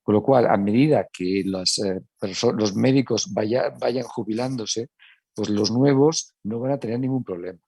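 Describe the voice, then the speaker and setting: A man's voice: on the low side, moderate pace, darkish tone, husky, dry recording. A middle-aged man with an Italian accent with some Castilian Spanish in it, speaking on a panel as a medical director, talking conversationally with many restarts. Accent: Italian, with a Spanish Castilian touch. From Italy. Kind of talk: panel discussion.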